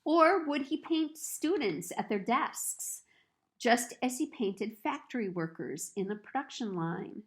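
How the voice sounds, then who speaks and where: A woman reading a children's picture book aloud in a storytelling style, with deliberate, expressive phrasing. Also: a little high.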